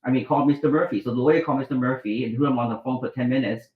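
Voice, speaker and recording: A man's voice: medium pitch, very fast. Brief: middle-aged man from Vietnam, rambling anecdote teller, roomy echo, muffled sound. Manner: rambling, restarts, acts out quoted dialogue. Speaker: guest, doctor and author. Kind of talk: storytelling interview.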